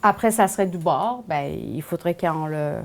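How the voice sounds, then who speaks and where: A woman from Iran with a Persian accent, explaining cooking casually as a guest, in halting second-language phrasing. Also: fairly low pitch, animated, gravelly. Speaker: guest home cook.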